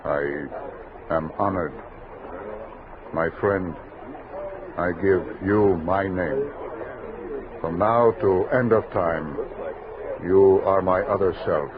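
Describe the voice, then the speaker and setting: An actor in a 1960s radio western playing a grave, loyal guide who speaks solemn, clipped, broken English. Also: male voice, low-pitched, slow pace, pauses often. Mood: solemn, moved. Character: grave, loyal male Nez Perce guide, fearful for his friend. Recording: muffled old radio recording, background noise.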